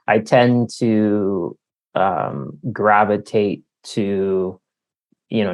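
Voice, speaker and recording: A man with a low, gravelly voice answers interview questions casually. He speaks slowly and haltingly, pausing to think and searching for words as he goes. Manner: halting, thoughtful, searching for words with long hesitations. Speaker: author being interviewed. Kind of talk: casual reflective interview talk.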